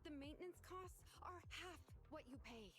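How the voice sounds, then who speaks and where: A woman's voice: high-pitched, lively intonation, moderate pace, fairly bright tone. An actress voicing a boastful young woman tech rival who taunts and gloats in a game cutscene. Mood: gloating. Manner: mocking, gloating.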